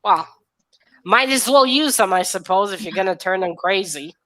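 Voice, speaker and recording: A woman's voice: medium pitch, expressive, moderate pace, fairly bright tone. A woman in a casual book discussion, retelling her reactions as she thinks them through.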